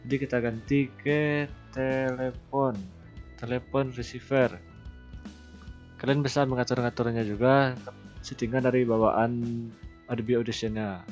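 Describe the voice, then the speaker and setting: A man hosting a casual software tutorial. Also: medium pitch, steady tone, measured pace, long pauses. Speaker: tutorial host. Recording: slightly dull, lo-fi voice recording. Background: background music.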